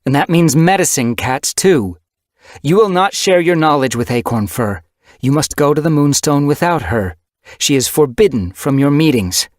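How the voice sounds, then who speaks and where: A man voicing a hot-headed she-cat clan leader who speaks forcefully and commandingly. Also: fairly high pitch, lively intonation, moderate pace, no room sound, clean studio sound, pitched above the performer's own voice, natural voice. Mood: insistent.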